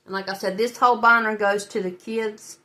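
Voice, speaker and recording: A woman's voice: mid-range voice, fairly even intonation, a little fast, sharp tone. A woman with a stuffy, head-cold nose, chatting to viewers as she works through her savings challenges. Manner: chatty, talks to viewers while working, small laughs. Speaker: budgeting channel host. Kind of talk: casual talk while filling savings challenges.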